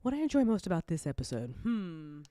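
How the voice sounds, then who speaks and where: A woman's voice: fairly low pitch, big pitch swings, fast talker, mellow, no echo, studio quality. A woman hosting a casual live chat as a show creator, talking in a rambling, giggly, informal way.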